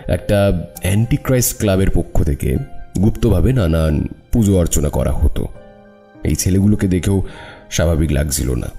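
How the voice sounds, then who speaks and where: A gravelly, wry detective. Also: male voice, low voice, mellow, exaggerated voice. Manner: dry, teasing. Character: detective Rudra, wry and calm. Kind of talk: audio drama acting.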